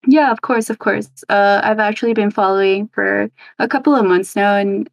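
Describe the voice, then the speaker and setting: A woman's voice: mid-range voice, steady tone, normal speed, gravelly, no room sound, highs cut off. Giggly young woman on a call. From Los Angeles, United States. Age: 20s. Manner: giggly, chatty, full of "like" fillers. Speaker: guest. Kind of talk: casual interview chat.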